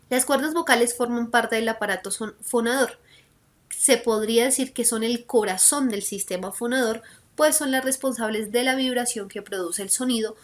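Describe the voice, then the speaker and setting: A woman with a Colombian accent teaching a school lesson in an informal, conversational way, explaining step by step and often checking for understanding. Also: on the high side. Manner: didactic, conversational, checks understanding often with short tag questions.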